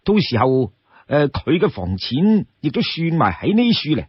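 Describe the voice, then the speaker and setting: A man's voice: on the high side, sing-song, moderate pace, slightly rough, dry recording, highs cut off. A man voicing a polite young travelling peddler with a Cantonese accent, earnest and persuasive, in an audio story. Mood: courteous, friendly.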